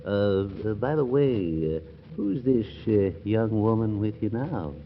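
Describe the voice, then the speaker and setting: An actor playing a crotchety, absent-minded old judge in a 1940s radio comedy, testy and muttering, on a muffled, noisy recording. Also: male voice, on the low side, expressive, measured pace. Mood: muttering, musing. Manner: testy, muttering, stumbling over long words.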